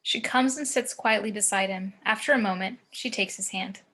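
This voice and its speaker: Female narrator, bright forward tone, medium pitch, scripted screenplay action reading. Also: moderate pace.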